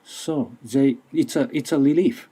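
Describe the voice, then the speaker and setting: Man, Japanese accent, non-native English, conference talk, unhurried, dark husky tone. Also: medium pitch. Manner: slow, careful, a bit nervous, with restarts. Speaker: university lecturer. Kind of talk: conference presentation.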